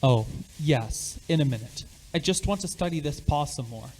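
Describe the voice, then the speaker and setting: A man playing an excitable, insistent father in an amateur radio play. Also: a little high, moderate pace, slight background noise, poor audio. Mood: earnest. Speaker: high school theatre performer.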